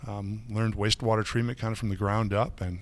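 Chatty middle-aged man, low voice. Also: flat delivery, a little fast, a bit bright. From Michigan. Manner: chatty, with many fillers and restarts. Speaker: guest. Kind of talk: casual interview.

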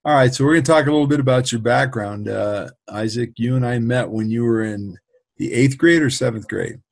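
A middle-aged man with a raspy voice who coaches quarterbacks, interviewing a former player in a casual, teasing way. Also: medium pitch, a little fast. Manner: teasing, chuckling between lines. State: raspy voice from playing golf.

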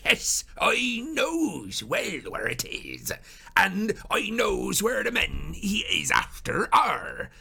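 Gravelly, petulant old man. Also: high voice, wildly swooping pitch, pushed and bright, voice pushed up, exaggerated voice. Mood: secretive, stubborn. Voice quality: creaky, raspy, drawn-out. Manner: rustic ungrammatical speech, querulous. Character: petulant, obstinate old working-class man, feebly shuffling.

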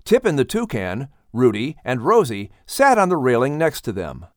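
A man reading a children's audiobook as its narrator, in an animated storytelling style. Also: medium pitch, normal speed, dry recording, studio quality.

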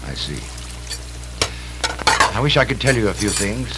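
Man as guarded radio-soap doctor, lowish lively-pitched voice, noisy old recording. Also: normal speed. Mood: guarded, probing. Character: guarded male doctor drawing out a confidant. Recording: old radio serial with crackling noise.

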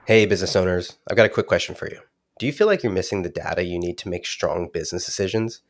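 A man reading a scripted promotional spot for a podcast in a brisk, pitching manner. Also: animated, over music. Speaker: podcast ad reader. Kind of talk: scripted ad read.

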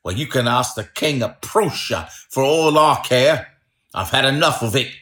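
A man's voice: medium pitch, animated, moderate pace, echoey room. A man voicing a surly, furious market salesman who blusters and threatens in a storybook reading. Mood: exasperated. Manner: blustering, shouted outbursts.

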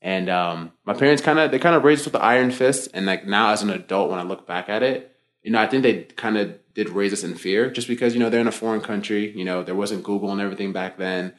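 A man's voice: fairly low pitch, fast. A young American man, a fitness coach, chatting as a guest in a casual interview and talking freely with loose fillers and restarts. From United States (born Boston, raised Florida). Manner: relaxed, talkative, many fillers and self-restarts.

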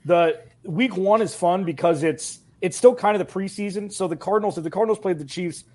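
A man's voice: high-pitched, brisk, a little mellow. A man chatting casually about sports as a podcast guest, rambling with lots of "like" and "um".

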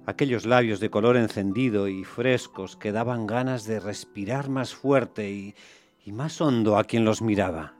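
An actor playing a lovesick, doubtful young bridegroom in a radio play, hesitant and musing. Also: male voice, on the low side, moderate pace, darkish tone, natural voice. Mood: wistful longing. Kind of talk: radio theatre acting. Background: music.

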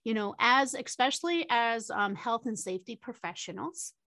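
A woman's voice: on the high side, animated, moderate pace, a bit bright, no room sound. A woman moderating a panel discussion, chatty, with many fillers and tag questions.

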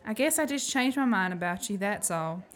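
A woman's voice: mid-range voice, lively intonation, moderate pace, close to an everyday voice. A woman acting a plucky, frank young woman in an amateur radio play. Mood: earnest, sympathetic. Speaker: student actor.

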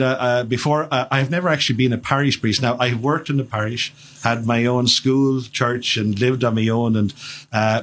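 A man's voice: mid-range voice, fairly bright tone. A man in his fifties with an Irish accent, a priest speaking as a radio guest, rambling with many restarts and fillers. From Ireland. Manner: chatty, halting with many restarts.

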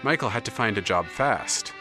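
A male narrator, played by an actor tells a literary audio drama. His voice is fairly low with animated pitch movement. Music plays clearly underneath. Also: moderate pace, lightly acted. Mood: measured storytelling.

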